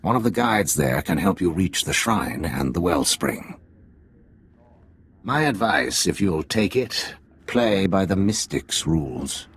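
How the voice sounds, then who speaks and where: A shrewd undercover spy in a video game, speaking very low with a gravelly edge. The delivery is dry and measured, yet the pitch swings widely as he explains. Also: sing-song, dry recording, exaggerated voice. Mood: cautionary. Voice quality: gravelly, slightly husky. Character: undercover Imperial agent posing as a native, wry, shrewd. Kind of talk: video game cutscene acting.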